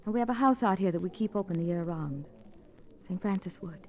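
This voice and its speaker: A woman's voice: on the low side, animated, a little fast, a little mellow, lightly acted. Poised, flirtatious American woman, played by an actress. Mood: flirtatious, coy. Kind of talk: 1950s radio drama acting.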